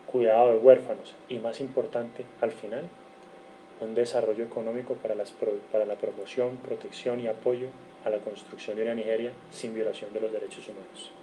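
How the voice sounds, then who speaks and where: Dark-voiced Colombian man lecturing. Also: fairly low pitch, little pitch movement, fairly quick, a little hiss or hum, low-quality recording. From Cali, Colombia. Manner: earnest, lists figures, frequent self-corrections. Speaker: guest lecturer. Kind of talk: online seminar lecture.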